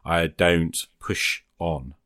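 Deep-voiced man in casual, reflective discussion. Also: fairly even intonation, very slow, a bit bright, no echo, studio quality. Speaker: podcast host. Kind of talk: casual discussion of solo role-playing games.

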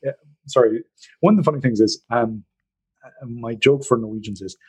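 A man's voice: mid-range voice, moderate pace, slightly rough, low-quality recording. A man with an Irish accent hosting a podcast in a rambling, joking, chatty way. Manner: rambling, chatty, jokey storyteller. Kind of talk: casual podcast chat.

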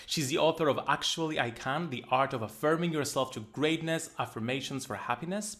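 A man's voice: a little high, fairly quick, a bit bright. Greek-accented man hosting a podcast. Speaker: podcast host. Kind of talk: podcast interview.

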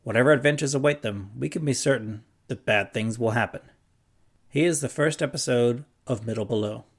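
Wry storyteller, scripted narration, medium pitch, creaky gravel, audio drama. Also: male voice, normal speed.

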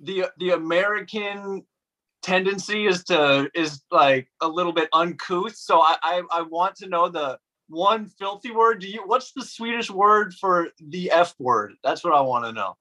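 Guest, man, upbeat casual chatter, bright tense tone. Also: high voice, animated, measured pace, poor audio. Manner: enthusiastic, slangy, says "dude" and "like" often. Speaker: podcast guest. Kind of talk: casual chat.

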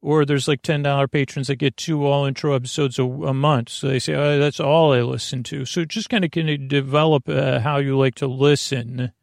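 A man with a gravelly voice hosting a sleep podcast, rambling through a bedtime monologue, over-explaining and trailing off mid-thought. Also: medium pitch, fairly even intonation, moderate pace, few pauses, no room sound, studio quality. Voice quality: gravelly, creaky. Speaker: podcast host.

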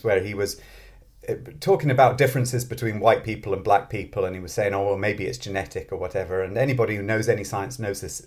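A man with a British accent, talking casually as an interview guest and thinking aloud in a self-deprecating way. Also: fairly low pitch. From Britain, grew up in Scotland. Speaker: interview guest, mathematics professor and author.

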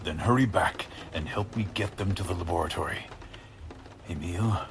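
An actor playing a deranged, obsessive scientist in a radio drama, with a husky, gravelly voice and an intense, sinister, commanding manner. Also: male voice, sits low, background noise, close to an everyday voice. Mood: excited, scheming. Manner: slow, intense, breathy whispering and urgent commands.

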